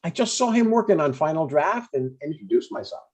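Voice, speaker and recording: A man reads the part of an older father in a comedy script. His voice is soft-edged and husky. He chats breezily and needles gently, stubborn in an everyday, natural way. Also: fairly high pitch, animated, a little fast. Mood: pleased, chatty.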